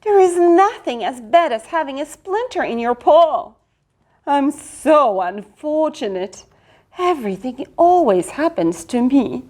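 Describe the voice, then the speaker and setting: Brief: a woman playing a storybook dog who moans that everything happens to him, drawn out and high. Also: very animated, unhurried, a little mellow. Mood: self-pitying. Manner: wailing, sorry for himself. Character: whiny self-pitying male dog.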